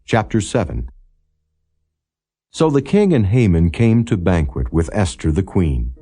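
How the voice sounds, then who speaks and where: A man reading scripture as a solemn, formal audiobook narrator, in a measured and non-dramatized style. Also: sits low, sing-song, warm tone, breathy edge. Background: background music.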